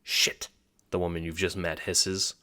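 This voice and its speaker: Very deep, forceful male narrator. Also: moderate pace. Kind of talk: scripted second-person audio drama narration.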